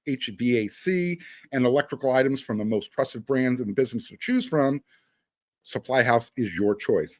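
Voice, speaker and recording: A man hosts a sports podcast in a mid-range voice with lively, swinging intonation. He speaks in a casual, conversational style. The sound is dry and close. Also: mid-range voice, no echo. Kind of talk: casual sports talk and ad reads.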